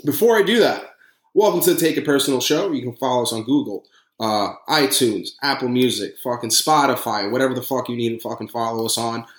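A man's voice: medium pitch, fairly quick, roomy sound. A man with a New York accent hosting a casual podcast, brash and profane in rambling banter. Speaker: podcast host.

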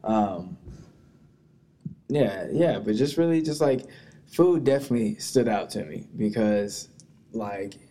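A young man from Texas with a low-pitched voice, talking as a guest on a podcast. He rambles casually and pads his talk with fillers, unhurried and easygoing. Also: expressive, dark tone. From Texas, USA. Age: young adult. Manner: laid-back, rambling with many fillers. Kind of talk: casual conversation.

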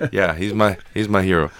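A middle-aged man from Austria, a musician guest talking in a relaxed, friendly way in a radio studio. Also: on the low side. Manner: relaxed, laughs easily, friendly. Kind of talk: casual interview.

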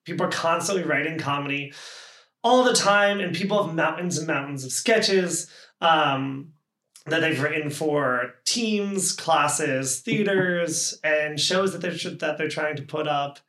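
A man's voice: on the high side, a little slow, sharp tone. A man hosting a podcast in a casual, informal and enthusiastic way. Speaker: podcast host.

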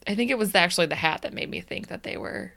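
A woman's voice: mid-range voice, fast talker, creaky, crowd noise. A woman co-hosting a podcast in casual chat, chatty and laughing often. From Chicago suburbs, US. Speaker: podcast co-host.

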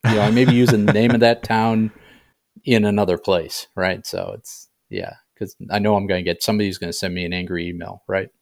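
Middle-aged guy with a lowish voice, author chatting on a podcast, keeps checking you're following. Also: fairly even intonation, fairly quick, vocal fry. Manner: conversational, often tags sentences with "right?". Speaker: fiction author being interviewed. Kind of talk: casual interview chat.

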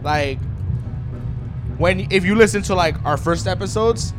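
Loud, casual podcast host. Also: male voice, high voice, unhurried, over music. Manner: loud, swears often, animated. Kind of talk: casual chat.

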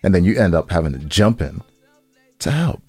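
An American man with a lowish voice and very animated pitch chats as a podcast co-host. He talks in casual slang, swears freely and tells stories expressively. The sound is dry, with hardly any room in it. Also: normal speed, breathy edge, dry recording, faint music behind. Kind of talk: casual banter and storytelling.

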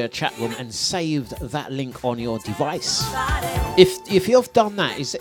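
A man hosts a morning radio show, chatting casually and shouting out listeners in loose slang. His voice sits a little high for a man. Music plays clearly beneath him throughout. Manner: chatty, laid-back, slangy, laughs at himself. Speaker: radio DJ host. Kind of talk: casual live radio chat. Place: radio studio. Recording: music playing under the voice.

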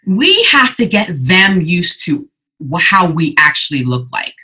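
Woman, 40s, from Ghana, business owner as guest; bright, slightly husky, animated and conversational. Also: fairly low pitch, measured pace. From Ghana, raised in Canada. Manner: animated, frequent "you know" and "right?". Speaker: entrepreneur guest. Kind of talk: casual interview.

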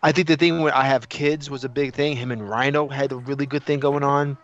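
A man's voice: medium pitch, fairly even intonation, a little fast, muffled recording. A man in casual, opinionated podcast discussion, emphatic and repetitive, often throwing out rhetorical questions. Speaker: podcast co-host.

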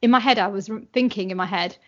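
A woman's voice: mid-range voice, fast. Chatty woman, slightly muffled audio. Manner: animated, chatty, many fillers and "like"s. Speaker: guest. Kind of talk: casual conversation.

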